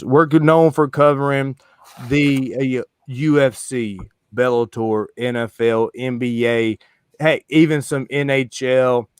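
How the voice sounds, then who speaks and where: A man's voice: mid-range voice, clean tone, no echo, clean studio sound. A man hosting a live sports stream in a loose, slangy, rambling way. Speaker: host. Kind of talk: casual streaming chat.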